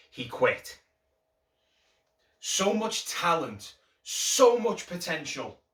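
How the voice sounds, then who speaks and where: A man's voice: mid-range voice, sharp tone. Echoey, earnest grieving friend acted by a man. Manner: earnest, dramatic, with drawn-out emphasis. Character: grieving friend mourning a talented musician who gave up. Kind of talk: stage play monologue performed for an audition. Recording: roomy echo, distant microphone.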